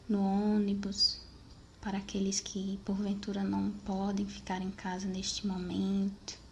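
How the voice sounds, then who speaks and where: A woman with a Brazilian accent narrating a guided meditation in measured, unhurried phrases with pauses. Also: medium pitch, a little mellow, a little hiss or hum.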